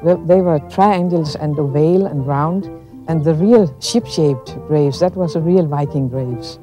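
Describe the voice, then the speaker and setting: Mellow woman guide. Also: sits low, a little slow, soft background music. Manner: explanatory, storytelling. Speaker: local archaeology guide. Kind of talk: spoken explanation to visitors.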